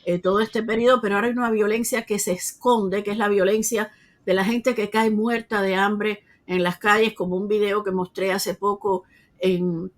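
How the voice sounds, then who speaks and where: A woman's voice: mid-range voice, steady tone, moderate pace. A woman, answering an interviewer earnestly, with frequent hesitations and restarts. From Cuba. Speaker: interview guest.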